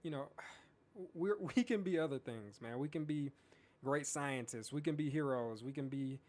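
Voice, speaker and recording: A man's voice: mid-range voice, moderate pace, darkish tone. An easygoing man co-hosting a podcast in casual chat, often tossing in "man" and "you know". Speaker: podcast co-host.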